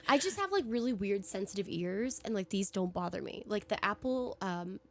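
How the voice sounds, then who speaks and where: A young woman chatting as a podcast co-host, her voice worn and hoarse from talking all week. She often trails off softly at the ends of phrases. She is recorded close on a studio podcast microphone. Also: medium pitch, lively intonation, moderate pace. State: losing her voice. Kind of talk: casual chat. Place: podcast studio at an event venue.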